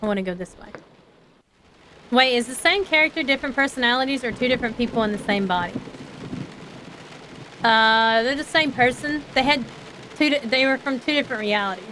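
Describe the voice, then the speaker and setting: A woman's voice: on the high side, a little fast, pauses often, noisy background. A woman livestreamer doing live game commentary and reading dialogue aloud, with crude, blunt joking. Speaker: livestreamer, VTuber.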